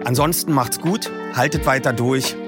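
A man hosting a podcast, wrapping up and making announcements in a relaxed, chummy way. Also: mid-range voice, normal speed. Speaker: podcast host. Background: music.

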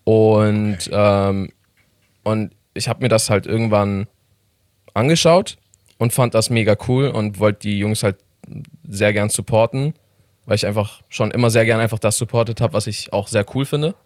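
A lowish male voice with a slight Iranian accent, recorded dry and close. He talks as an interview guest about making films, pausing often with fillers and breaking into laughter. The delivery is halting and relaxed. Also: no room sound. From Iran. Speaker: guest, filmmaker and YouTuber. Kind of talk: casual interview chat.

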